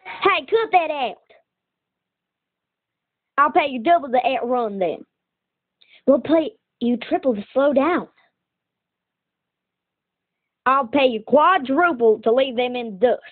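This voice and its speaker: A proud young cowgirl pony from a cartoon acted by a woman, high-voiced and plain-spoken. She talks in a folksy, earnest way. The recording is thin and tinny, like playback filmed off a screen. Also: expressive, unhurried, long pauses. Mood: determined. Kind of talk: cartoon fandub acting.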